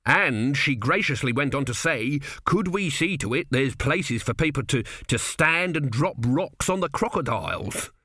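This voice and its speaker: A man's voice: mid-range voice, animated, unhurried, few pauses, sharp tone, breathy edge, no room sound, studio quality, pitched above the performer's own voice, full character voice. A man voicing an eager builder's son who stumbles proudly through his explanations in a storybook reading. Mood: triumphant.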